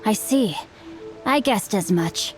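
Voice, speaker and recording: A woman voicing a bookish, chivalrous young gentleman swordsman in a video game, composed, courteous and flowery in speech. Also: mid-range voice, expressive, slow pace, music in the background. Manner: flowery, formal, courteous, evasive.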